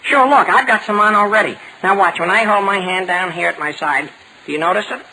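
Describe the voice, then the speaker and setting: An actor playing an eager, excitable teenage boy in a 1940s radio comedy, earnest and prone to stammering. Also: sits high, fairly quick, heavily acted, poor audio. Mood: confident. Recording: hissy vintage 1940s radio recording.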